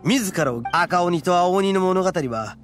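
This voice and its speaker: A proud young oni gang leader from an anime game, speaking in rough, blunt slang with a swagger. His voice sits a little high for a man, and music plays underneath. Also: animated, a little slow. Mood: solemn, explaining. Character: brash, proud young male horned oni gang boss.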